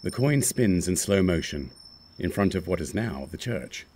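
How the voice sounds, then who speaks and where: A man narrates a screenplay's action lines. His voice is exceptionally deep, and the delivery is scripted storytelling of each scene. A faint noise sits on the recording. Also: expressive, normal speed, darkish tone.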